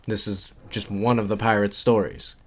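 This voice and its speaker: A man's voice: lowish voice, little pitch movement, moderate pace. A man giving casual, instructional walkthrough commentary for a video game, informal and repeating his key points. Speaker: game guide creator and narrator.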